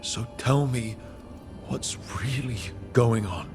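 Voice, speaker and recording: A supportive fantasy game leader, steady and a little wry, with a mid-pitched voice. A long reverb trails him, with faint music behind. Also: male voice, takes it slowly. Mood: concerned, firm. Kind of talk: video game dialogue.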